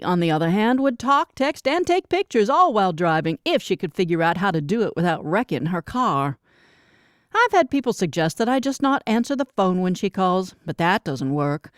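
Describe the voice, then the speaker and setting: A woman narrating first-person fiction as an audiobook, with expressive, carefully enunciated storytelling. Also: medium pitch, no room sound, studio quality.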